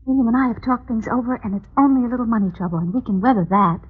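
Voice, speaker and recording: A woman's voice: a little high, racing, slightly rough. A woman playing a proud, wary, wounded wife, speaking with insistent theatrical emphasis in an old-time radio melodrama. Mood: brisk, dismissive. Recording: dull lo-fi old radio recording.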